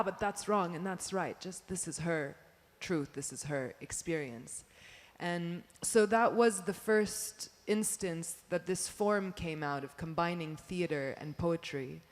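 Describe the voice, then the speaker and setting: A woman poet and performer on a panel, speaking expressively and quickly. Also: lowish voice. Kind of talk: panel discussion answer. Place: museum panel stage. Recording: long hall reverb.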